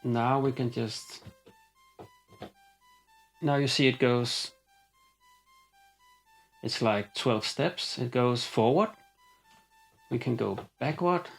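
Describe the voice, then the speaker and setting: Male demonstrator, gravelly, unhurried, pauses often mid-sentence, faint synth music underneath. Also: medium pitch. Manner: relaxed, halting with frequent ums and uhs. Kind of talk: hands-on patch explanation.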